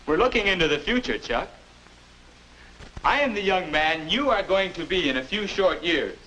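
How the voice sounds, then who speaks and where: A man's voice: high voice, animated, moderate pace, sharp tone, slight background noise. A young male actor playing a confident American 21-year-old, patiently persuading and advising in a 1950s educational film. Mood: knowing, firm. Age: young adult. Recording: dull 1950s film soundtrack.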